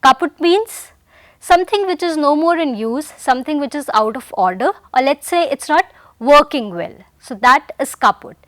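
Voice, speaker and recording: A woman's voice: high-pitched, animated, moderate pace, fairly bright tone, dry recording. A woman teaching a German vocabulary lesson in English, patiently repeating each word and sentence and calling her learners dear friends. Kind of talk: recorded language lesson.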